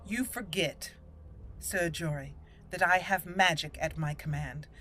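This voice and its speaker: A woman's voice: on the low side, a little slow, a bit bright, a little hiss or hum. A woman voicing her confident female elf mage, speaking firmly and reassuringly. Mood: resolute.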